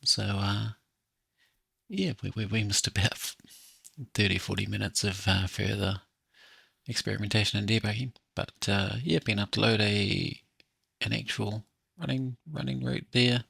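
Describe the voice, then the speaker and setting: A man with a gravelly, husky voice talking casually to himself as he hosts a think-aloud software tutorial. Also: lowish voice, steady tone, moderate pace, no echo. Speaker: app developer hosting a coding session.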